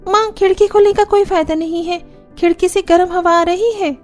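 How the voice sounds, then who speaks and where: A woman's voice: very high-pitched, steady tone, moderate pace, faint music behind, voice pushed up. A woman voicing a keen, plaintive young sister-in-law in a cartoon story. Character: young girl sister-in-law, bright-eyed and complaining. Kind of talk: Hindi cartoon story dialogue.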